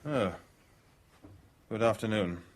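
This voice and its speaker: Anxious British lover, animated delivery. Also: male voice, lowish voice, slow pace. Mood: wary. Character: anxious, earnest lover of a murdered man's wife. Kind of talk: radio drama acting.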